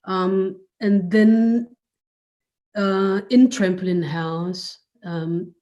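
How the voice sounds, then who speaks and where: Female curator as interview guest: unhurried, reflective, searching for words, relaxed lowish voice. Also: muffled recording. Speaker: curator and art space director, guest.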